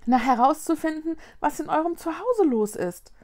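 A kind young girl wizard, voiced by a woman in a children's tale. Her voice sits high and soft-edged. She speaks gently and sensibly, with open curiosity. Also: lively intonation, normal speed. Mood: friendly, earnest. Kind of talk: children's storybook character voice.

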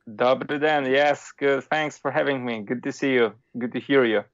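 A man from Poland with a Polish accent, a philosopher guest talking casually, with a halting manner full of restarts and fillers. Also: medium pitch, steady tone, dry recording, muffled recording. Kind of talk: casual interview talk.

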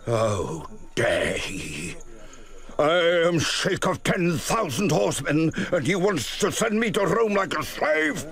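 An actor playing a proud, fiery old desert sheikh in a radio drama, speaking in an emphatic, declamatory way. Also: male voice, a little high, full character voice. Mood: indignant. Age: older. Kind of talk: theatrical radio drama acting.

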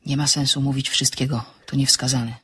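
An actress playing a bold amateur sleuth in a radio drama, with a husky voice and brisk, self-assured delivery. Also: female voice, steady tone, bright, forceful tone, heavily acted. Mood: composed. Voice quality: low, husky. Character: excitable, bold amateur sleuth woman, composed when bluffing.

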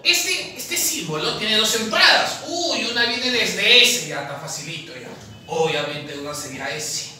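A man, teaching logic at a chalkboard, firing questions at students and answering them himself. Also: high voice. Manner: lively, asks questions and answers them himself, calls the viewer "papi". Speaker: math tutor at an admissions academy. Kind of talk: chalkboard lesson solving exam problems. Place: classroom at a chalkboard, indoors. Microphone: far camera. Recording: very echoey classroom from far off, faint noise.

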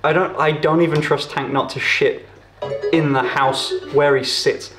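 A man's voice: on the high side, fairly even intonation, measured pace, music in the background. A British man streaming casually from his home kitchen while cooking, joking and swearing freely. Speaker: streamer host. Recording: echoey room with background noise.

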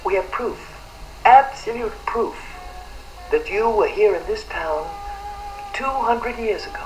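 An actor playing an insistent, knowing hotel clerk in an old radio drama, with an insinuating manner, heard from a cassette speaker. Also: male voice, high voice, lively intonation, slow pace, over loud music, rough recording. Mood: eerily insistent.

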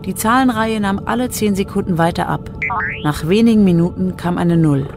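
A mid-pitched woman's voice over a music bed, narrating a radio drama. Her scripted storytelling is controlled and clear in delivery. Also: normal speed.

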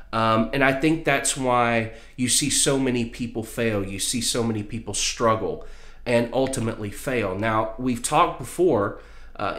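A man's voice: mid-range voice, a bit bright, reverberant. A man in his thirties giving earnest motivational advice to camera as a vlog host, explaining things directly to viewers. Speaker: vlog host, music business mentor. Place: small room at home with posters, indoors.

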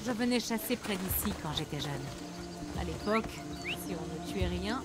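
A woman's voice: mid-range voice, animated, normal speed, close to an everyday voice. Tender young huntress, played by a woman, over music. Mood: confident, friendly. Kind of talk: dramatic game dialogue. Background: background music.

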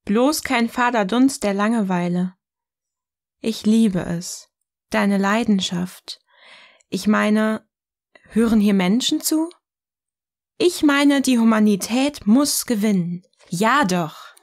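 Eine Frau spielt in einem Hörspiel eine provokante, ironische Gesprächspartnerin, die bedächtig und neckend philosophiert. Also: female voice, mid-range voice, animated, takes it slowly, pauses often. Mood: teasing. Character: provocative, ironic woman sparring in philosophical dialogue. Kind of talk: scripted audio drama dialogue, philosophical banter.

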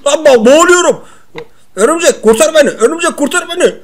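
A man acts out a clumsy comic everyman in a video game role-play. He is high-pitched, slangy and given to whining complaints. The delivery is playful and improvised. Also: lively intonation, fairly quick. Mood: comic panic.

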